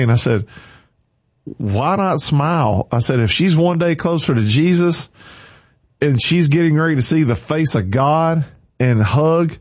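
A man's voice: on the high side, a little mellow, breathy edge, dry recording. Male morning radio host, preachy and fervent, dry close studio sound. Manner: fervent, preachy, repeats short commands. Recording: dry, slightly muffled studio.

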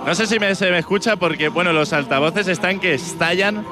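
Radio street reporter, male, fast and high, outdoor festival with loud music behind. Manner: hesitant, many restarts. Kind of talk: on-location radio interviews.

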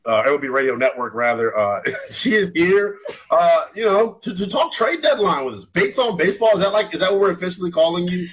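A man's voice: sits high, lively intonation, fairly quick, fairly bright tone, slightly rough. A man in his twenties hosting a sports talk radio show, often rephrasing himself as he goes. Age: 20s.

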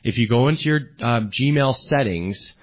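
A man's voice: mid-range voice, expressive, moderate pace. A man hosting a call-in tech radio show, chatting with callers and explaining computer steps one at a time. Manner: chatty, friendly, explains step by step. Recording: dull lo-fi broadcast audio.

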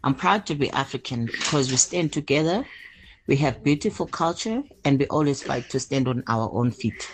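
A woman's voice: a bass voice, lively intonation, moderate pace, noisy background. A woman from Zimbabwe whose first language is isiNdebele, leaving an upbeat phone voice note for a radio show. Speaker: caller sending a voice note.

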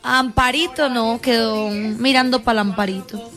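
A woman's voice: a little high, fairly even intonation, measured pace, a bit bright. A woman with a Colombian accent cutting in on radio banter in a casual, slangy, bossy way. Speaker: radio show crew member.

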